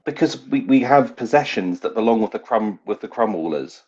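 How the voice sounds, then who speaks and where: A man's voice: lowish voice, expressive, a little fast, darkish tone, lo-fi sound. A man playing an earnest, slightly pompous would-be knight in a tabletop game, pleading his case.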